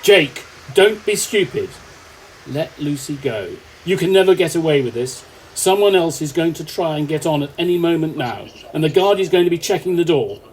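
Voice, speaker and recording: A man's voice: fairly high pitch, animated, normal speed, slight background noise, lightly acted, rough recording. A man acting an earnest, authoritative off-duty policeman in an amateur radio play. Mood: urgent, pleading.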